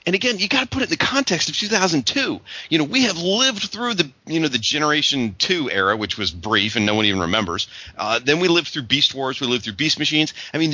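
Loud, animated man on a podcast. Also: a little high, breathy edge, no room sound, dull audio. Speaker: podcast guest. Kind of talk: casual chat.

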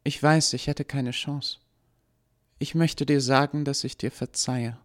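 A man reading an audiobook, voicing a gentle young woman who was made by someone, speaking softly and pleadingly. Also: medium pitch, steady tone, unhurried, pitched above the performer's own voice, close to an everyday voice. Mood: calm forgiving.

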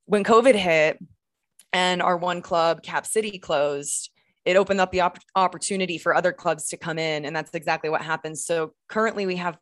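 A woman's voice: lowish voice, normal speed, no room sound. A woman from Texas, a guest comedian in casual podcast chat, talking chattily and laughing often.

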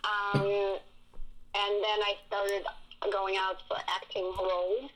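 A woman from Russia with a Russian accent, a guest telling animated stories with laughs in a casual interview. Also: mid-range voice, takes it slowly, rough recording. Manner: animated storytelling with frequent laughs.

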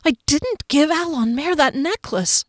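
A woman's voice: on the high side, animated, normal speed, no echo, clean studio sound, close to an everyday voice. A woman voicing a wounded young swordswoman in an audiobook, breathless and pleading, her voice strained. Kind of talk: audiobook character voice.

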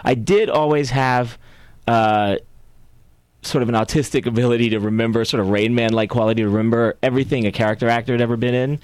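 A man in his forties, a talent agent from Puerto Rico, answering interview questions in a steady, matter-of-fact way. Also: medium pitch, fast, clear, resonant tone, dry recording.

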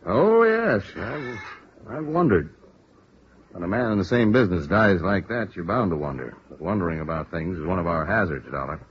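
An actor playing a businesslike American police lieutenant in a vintage radio drama, frank, firm, deliberate and emphatic. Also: male voice, sits low, big pitch swings, slightly rough, exaggerated voice. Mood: musing, businesslike. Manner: deliberate, emphatic, matter-of-fact. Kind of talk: 1950s radio drama acting. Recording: muffled, hissy old radio recording.

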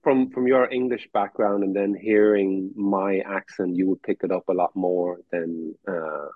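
A man's voice: deep voice, steady tone, unhurried, no echo. Irish man on a tinny call. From Ireland. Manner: easygoing storyteller, stumbles and repeats words. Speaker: guest. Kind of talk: casual interview chat. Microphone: video call. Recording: tinny dry call audio.

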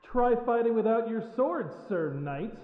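Echoey, taunting fantasy fighter. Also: male voice, very high-pitched, expressive, measured pace. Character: showy, taunting male fantasy game fighter calling out moves. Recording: echoey hall, slightly dull, noisy.

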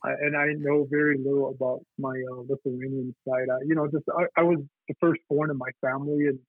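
Husky man on a tinny phone line. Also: medium pitch, level tone, no echo. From Navajo Nation. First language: Navajo. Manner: halting, with many fillers and restarts. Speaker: guest. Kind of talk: reflective casual interview talk.

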